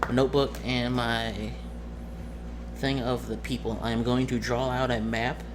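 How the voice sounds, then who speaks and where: A man acts as game master, laying out a tabletop game scene in a casual, explanatory way. His delivery is halting and he often corrects himself. His voice sits at an average pitch, and there is clear noise over the recording. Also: level tone.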